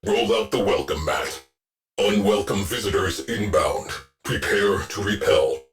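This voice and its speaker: A man voicing a terse, stoic military ship AI in a video game, with a clipped, deadpan tactical delivery and a dry protective streak. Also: fairly low pitch, a little slow, faint music behind, lightly acted. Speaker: ship tactical AI announcing combat. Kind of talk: scripted video game voice lines.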